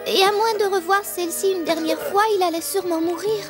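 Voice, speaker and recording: Earnest, very high-pitched little girl. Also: fairly even intonation, brisk. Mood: hushed storytelling. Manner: earnest, childlike. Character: earnest, imaginative young girl, devoted to her father. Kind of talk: dubbed animated drama acting. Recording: voice over clear background music.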